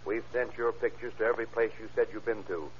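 An actor playing a blunt, sardonic, sceptical police interrogator in an old-time radio crime drama. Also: male voice, lowish voice, steady tone, fast talker, slightly rough. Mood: stern, pressing. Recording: muffled vintage radio recording.

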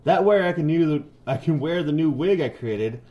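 A man's voice: a little high, expressive, normal speed, highs cut off, lightly acted. A man voicing a showy, fussy storybook ghoul with a theatrical delivery. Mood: boastful. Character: Frank Junior, male spooky ghoul friend, fussy and grumbly. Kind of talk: storybook character read aloud.

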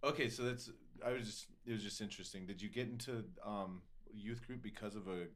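A man's voice: lowish voice, a little fast, fairly bright tone. A man hosting a casual podcast interview, informal and slangy, affirming what his guest says. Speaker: podcast host, musician.

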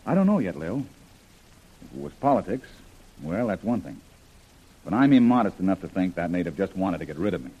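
An actor playing a rugged, level-headed adventurer hero in a 1930s radio serial, with a husky voice. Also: male voice, sits low, big pitch swings, a little fast, pauses often, mellow, full character voice, rough recording. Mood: skeptical, measured. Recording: old radio recording.

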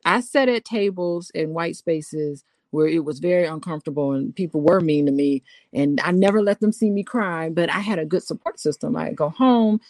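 A woman's voice: low voice, moderate pace, a little mellow, no echo. A woman co-hosting a podcast, talking casually, teasing and often laughing. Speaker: podcast co-host. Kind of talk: casual conversation.

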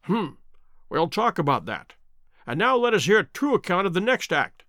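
A man voicing a self-assured Victorian detective in an audiobook, measured, stern and dryly mocking. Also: sits high, big pitch swings, fairly bright tone, no echo, studio quality. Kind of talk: dramatic audiobook character reading.

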